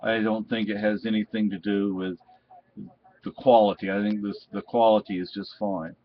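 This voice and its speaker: Low-voiced man reviewing gear, muffled sound. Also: little pitch movement, normal speed. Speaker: product reviewer. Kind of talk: casual unscripted product review.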